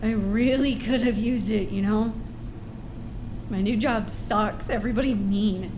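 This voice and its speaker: An actress playing an excitable, needy, sweet-natured young female staffer in an audio drama, emotional and unguarded. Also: mid-range voice, a little slow, background noise, close to an everyday voice. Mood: hurt, subdued.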